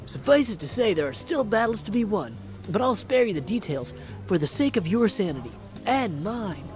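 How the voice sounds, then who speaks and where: A man's voice: high voice, big pitch swings, normal speed, a little mellow. A man voicing a poised, earnest young witch goddess from a video game, speaking in a formal, composed way. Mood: guarded. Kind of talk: video game character dialogue. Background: music.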